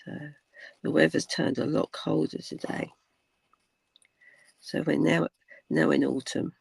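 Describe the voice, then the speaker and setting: An older woman with a croaky voice, chatting casually through a craft tutorial, rambling with many ums and dropping to a whisper while she works. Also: deep voice, little pitch movement, a little slow, darkish tone, no room sound. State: croaky from cold weather. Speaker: crafting channel host.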